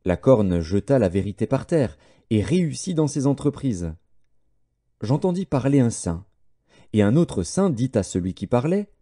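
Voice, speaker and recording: A man reads scripture aloud as an audiobook narrator. His voice is very dark and mellow, set at a middling pitch. The delivery is measured and solemn, in the style of scripted narration.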